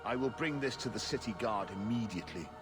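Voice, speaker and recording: An actor playing a sombre, resigned investigator with a measured, formal delivery in a video game. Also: male voice, lowish voice, in a large hall, over music, lightly acted.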